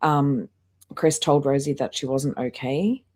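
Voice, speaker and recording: A woman with a low-pitched voice hosts a casual commentary stream. She talks through the details and reads out messages from chat. Her manner is disapproving, with a dry, sarcastic edge. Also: unhurried, darkish tone.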